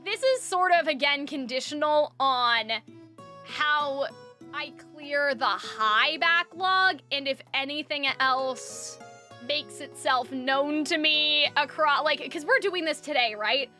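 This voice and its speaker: A woman's voice: sits high, slow, pushed and bright, faint music behind. A young adult woman streamer in casual chat, rambling with fillers and restarts, her voice dry from lack of water.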